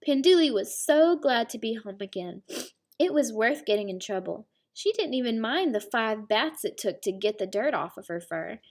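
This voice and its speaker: A woman's voice: fairly high pitch, lively intonation, normal speed, a little mellow. A woman reading a children's picture book aloud like a teacher, with explanatory asides. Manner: explains words and story asides. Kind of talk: children's storybook read-aloud.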